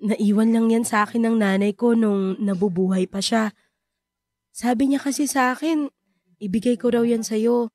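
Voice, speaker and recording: A woman acting an anguished, guilt-ridden mother in a Filipino radio drama, with a Filipino accent and halting, repetitive delivery. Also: on the high side, fairly even intonation, darkish tone, no echo, natural voice. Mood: hesitant, remorseful. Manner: halting, repeating words, tearful.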